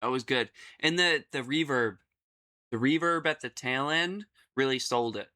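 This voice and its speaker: A man chatting casually and jokingly as he reacts to a video, excitable, slangy and prone to swearing. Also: medium pitch, moderate pace.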